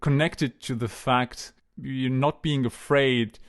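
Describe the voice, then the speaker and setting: Man, mid-pitched voice, casual talk, halting delivery, restarts often, relaxed storyteller. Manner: hesitant, many fillers and restarts. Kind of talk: casual conversation.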